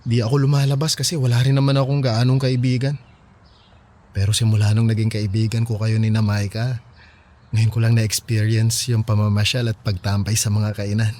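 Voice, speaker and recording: A man's voice: lowish voice, little pitch movement, moderate pace, darkish tone, lightly acted. A man playing a shy, lonely, nerdy young fan in a radio drama, earnest and reserved, with a Filipino accent.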